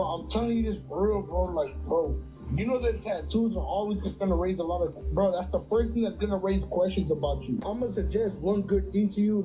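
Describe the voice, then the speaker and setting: A man talking earnestly on a livestream, peppering nearly every line with "bro". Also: high-pitched. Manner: earnest, says "bro" constantly. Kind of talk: casual livestream talk. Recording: lo-fi livestream audio.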